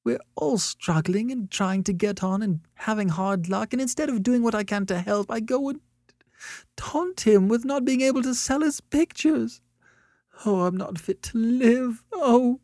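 A man voicing a quick-tempered young woman artist in an audiobook story, sharp-tongued yet remorseful, with an emotional, theatrical way of talking. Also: fairly high pitch, sing-song, dry recording, clean studio sound, voice pushed up. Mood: sobbing self-reproach.

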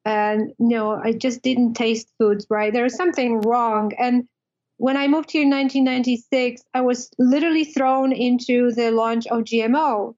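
A woman's voice: a little high, fairly even intonation, moderate pace, a little mellow. A woman with a Polish accent, a guest expert, talks in a slightly raised voice. She reflects aloud in short phrases that often restart. Her sound comes through a dull, lo-fi line. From Poland. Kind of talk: casual interview.

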